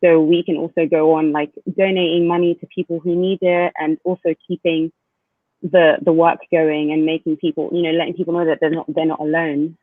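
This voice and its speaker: A man hosts an online panel, talking in a casual, halting way with many restarts. His voice sits a little high. It comes through a tinny, phone-like line with a dry sound. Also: flat delivery, no room sound. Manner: hesitant, restarts often, many fillers. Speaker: panel host. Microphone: video call.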